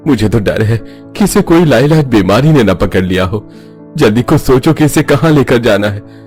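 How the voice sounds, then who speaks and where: A man's voice: medium pitch, expressive, normal speed, a little mellow, lightly acted. A man voicing an anxious, pleading older father in a cartoon horror story. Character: worried older father of a village family. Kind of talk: Hindi animated story dub. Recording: music under the voice.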